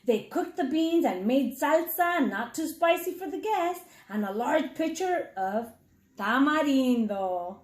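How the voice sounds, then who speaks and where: A woman's voice: high-pitched, slow pace. A woman reading a children's story aloud as a teacher, speaking expressively and putting questions straight to young listeners. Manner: expressive, addresses children directly. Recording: echoey room sound.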